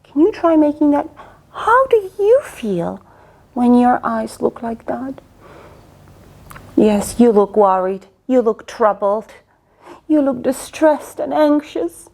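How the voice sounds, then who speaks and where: Animated woman reading to kids. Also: medium pitch, creaky, husky. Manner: coaxing, asks the listener questions. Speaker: children's story reader. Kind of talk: interactive read-aloud for children.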